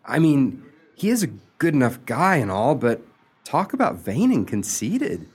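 A man's voice: mid-range voice, huge pitch swings, measured pace, a little mellow, husky, full character voice. A man playing a jealous, lovesick suitor in an amateur radio play, earnest and exasperated, with a hesitant stammer. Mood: hesitant, grudging.

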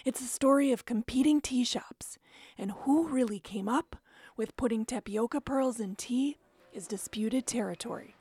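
Female radio reporter, scripted feature narration, measured and descriptive, slightly high voice. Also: dark tone, breathy edge, dry recording. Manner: measured, descriptive storytelling read.

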